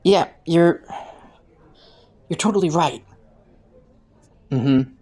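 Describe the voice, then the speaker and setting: Woman acting a low-voiced, flirty, taunting criminal. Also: very slow, husky, full character voice. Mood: smug. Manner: playful, teasing drawl with frequent soft chuckles. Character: flirty, taunting underworld criminal teasing a detective. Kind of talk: flirty roleplay audio acting.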